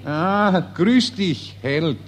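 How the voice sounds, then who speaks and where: An actor playing a dim, chatty Viennese officer, muddled and questioning, in a radio drama. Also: male voice, high-pitched, lively intonation, extremely slow, slight background noise, full character voice. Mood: jovial. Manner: muddled, self-correcting, questioning. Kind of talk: mid-century German-language radio drama acting.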